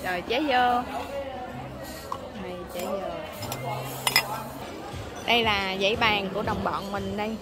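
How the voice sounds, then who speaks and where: A woman's voice: medium pitch, unhurried, long pauses, a loud crowd. A woman, chatting through a casual travel vlog as she walks around a hotel breakfast buffet, with plenty of fillers. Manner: chatty, narrating while walking, many fillers. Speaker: travel vlogger. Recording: loud music under voice. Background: loud background music.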